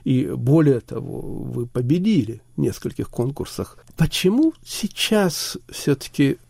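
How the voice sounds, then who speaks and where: A man's voice: medium pitch, very animated, unhurried, vocal fry, darkish tone, breathy edge, dry recording. A man conducting an interview, asking curious, probing questions. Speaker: radio interviewer.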